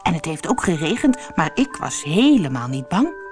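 A woman's voice: low voice, very animated, moderate pace, over music. A woman voicing a small, wistful and proud female rag doll in a children's storybook, talking in simple childlike sentences.

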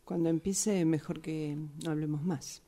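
A woman improvising a radio drama part as a reserved, guarded woman who speaks haltingly and repeats words. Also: low voice, steady tone, warm tone. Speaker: radio drama workshop participant.

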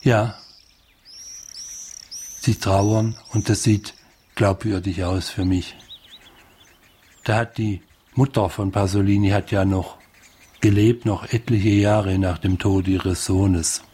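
A man giving unscripted, reflective commentary on a film, halting and thinking aloud in fragments. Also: on the low side, fairly even intonation, warm tone. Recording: clear music behind the voice.

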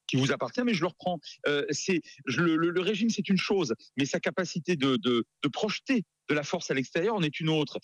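A man giving live radio analysis as a geopolitics expert, emphatic but halting, repeating words as he searches for the next. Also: a little high, dry recording.

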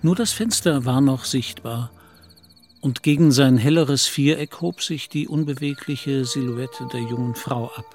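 A man narrating a literary radio play in a measured storytelling manner. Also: medium pitch, darkish tone, soft background music.

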